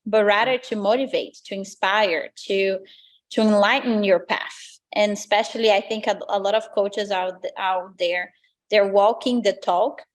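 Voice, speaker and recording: A woman's voice: medium pitch, fairly even intonation, unhurried. A woman from Brazil with a Brazilian accent, a native Portuguese speaker, answering interview questions as a lifestyle coach in an earnest, motivational way. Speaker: lifestyle coach, podcast guest.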